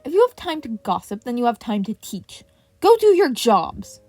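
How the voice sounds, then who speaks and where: Woman voicing a sharp, exacting male teacher. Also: on the high side, very animated, moderate pace, soft background music. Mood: scolding command. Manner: sharp, commanding, seething. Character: fuming, exacting male veteran hero teacher. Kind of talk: audiobook-style fan fiction character reading.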